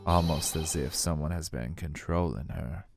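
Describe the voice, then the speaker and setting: Low-voiced young defense lawyer, a guy voicing him, serious and steady, game music clearly behind. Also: moderate pace, no room sound, clean studio sound, heavily acted. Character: earnest young male defense attorney.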